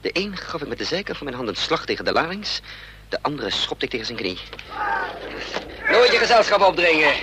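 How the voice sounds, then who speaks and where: A male narrator tells a radio drama in a measured, suspenseful way. His voice is bright and forceful, and the scripted lines are delivered with care. A faint noise sits behind him. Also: mid-range voice, big pitch swings. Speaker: first-person narrator.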